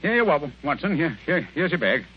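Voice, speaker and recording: A man playing a brisk, shrewd, commanding detective in an old radio drama, with a crisp, theatrical British delivery. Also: fairly high pitch, big pitch swings. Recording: muffled, hissy vintage radio recording.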